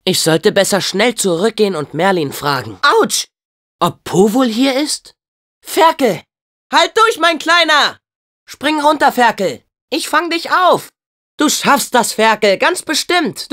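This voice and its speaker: A man playing an eager, loyal teenage boy hero in a video game, with an expressive, earnest, youthful delivery. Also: very high voice, a little slow, long reverb tail, heavily acted. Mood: excited, urgent. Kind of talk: video game voice acting, German dub.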